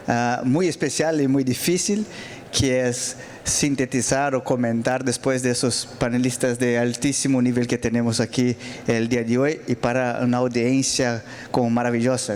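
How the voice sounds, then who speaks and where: A man's voice: medium pitch, darkish tone. A man from Brazil with a Brazilian Portuguese accent speaking Spanish, giving closing remarks at a conference panel with many hesitant fillers and restarts. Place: large auditorium.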